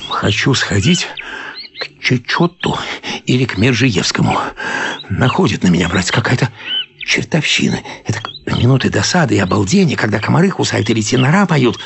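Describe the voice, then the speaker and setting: An actor playing a self-pitying henpecked husband on the edge of madness in a comic radio play, complaining theatrically with sighs and sudden outcries. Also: male voice, medium pitch, sing-song, normal speed, gravelly, fairly bright tone, a little hiss or hum. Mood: confiding despair. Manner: theatrical complaining, sighing, swinging from confiding mutter to frantic outcry. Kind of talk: radio play acting of a comic short story.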